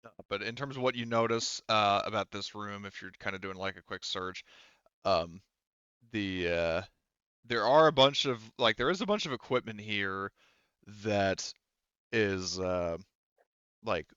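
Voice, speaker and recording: A man with a lowish, clear voice narrates a tabletop game as its game master. He feels his way through descriptions in a hesitant, conversational style. The sound is dry and slightly dull. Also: measured pace, fairly bright tone, no room sound.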